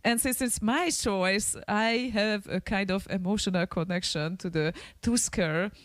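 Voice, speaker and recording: Woman, non-native English speaker, mid pitch, dry close sound, radio host, laughs a lot. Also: a little slow, dry recording. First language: not English. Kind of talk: casual chat.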